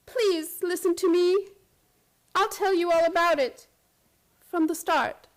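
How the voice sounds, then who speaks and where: Actress as an earnest, high-voiced woman teacher, pleading. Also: slow pace. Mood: confiding, wistful. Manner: pleading, intense, confiding. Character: earnest, distraught female high school physics teacher pleading for help. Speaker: narrator and lead character of radio play. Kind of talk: radio drama acting.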